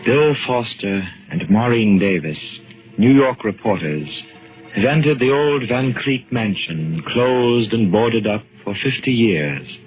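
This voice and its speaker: A man narrating a 1940s radio mystery from a script, in a dramatic, deliberate manner. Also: fairly low pitch, animated, faint music behind. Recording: noisy old 1940s radio recording.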